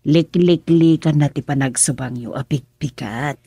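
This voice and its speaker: A woman's voice: low-pitched, measured pace, dark tone, slightly rough, no room sound, studio quality, full character voice. An actress playing a firm, protective middle-aged mother in an Ilocano radio drama, with an Ilocano accent and an admonishing manner. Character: older mother giving firm advice, scolding, protective.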